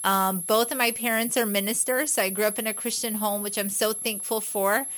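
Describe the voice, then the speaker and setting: A woman's voice: medium pitch, steady tone, brisk, a bit bright. Upbeat chatty woman from Guyana. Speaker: guest physician. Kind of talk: casual talk show interview.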